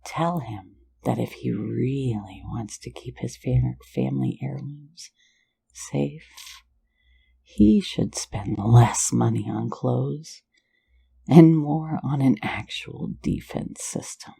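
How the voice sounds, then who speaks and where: A woman's voice: very deep voice, slow pace, gravelly, warm tone, breathy edge, heavily acted. A woman voicing a sly, playful phantom thief in an ASMR roleplay, mostly whispering close to the mic in a teasing, soothing way. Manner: teasing, soothing, lulling listener to sleep.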